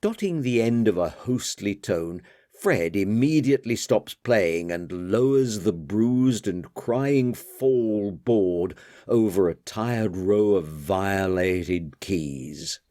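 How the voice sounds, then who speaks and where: A man reading literary fiction as an audiobook narrator, with a measured, deliberate delivery. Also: lowish voice, expressive, dark tone, dry recording, studio quality.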